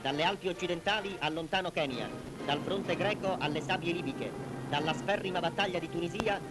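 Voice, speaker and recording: High-pitched 1940s newsreel man over loud music. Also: brisk, rough recording. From Italy. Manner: declamatory, solemn, formal. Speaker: newsreel narrator. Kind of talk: 1940s wartime propaganda newsreel narration.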